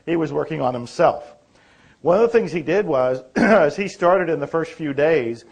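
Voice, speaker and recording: Seminar host, male author type, friendly and chatty, voice a touch high. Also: a little mellow. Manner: friendly, conversational, chuckles easily. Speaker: presenter and author. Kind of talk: seminar talk and audience Q&A. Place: meeting room.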